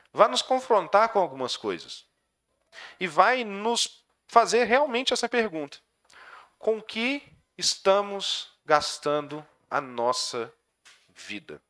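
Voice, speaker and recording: Brazilian pastor, light high voice, earnest sermon delivery, trails off softly at phrase ends. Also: male voice. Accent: Brazilian Portuguese. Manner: earnest preaching, voice dropping soft at phrase ends. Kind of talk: sermon with prayer.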